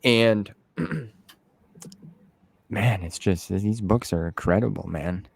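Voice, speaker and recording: A man's voice: sits low, slow pace, vocal fry, breathy edge, no echo. A man hosting a casual interview, talking in an informal, rambling way peppered with slang like "man" and "dude". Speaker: interview host. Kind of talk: casual conversational interview chat.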